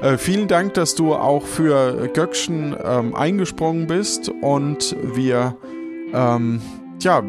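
A man hosting a podcast, speaking casually and unscripted, sometimes halting and repeating words. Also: medium pitch. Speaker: podcast host. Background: background music.

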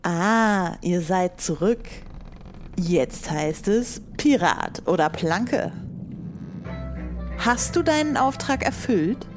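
A woman voicing a stern, theatrical pirate captain who speaks in deliberate proclamations. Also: fairly low pitch, lively intonation, soft background music.

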